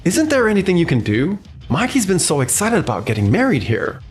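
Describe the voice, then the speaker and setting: A man's voice: high-pitched, wildly swooping pitch, fairly quick, over music. A man playing a cocky, smug young salesman in a manga dub. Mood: pleading, ingratiating. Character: cocky, smug male top salesman who steals brides.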